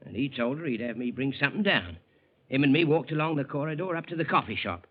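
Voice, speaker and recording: A man's voice: mid-range voice, expressive, fast, close to an everyday voice. Man playing a dutiful steward, tinny radio. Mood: earnest. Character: dutiful ship's steward giving testimony. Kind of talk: 1940s radio drama acting. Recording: tinny vintage radio broadcast.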